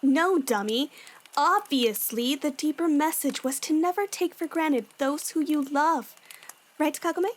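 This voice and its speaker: A woman's voice: high voice, a little slow. A woman playing a bossy but caring young warrior woman in a fan audio drama, with a firm, matter-of-fact manner. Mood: scolding, firm.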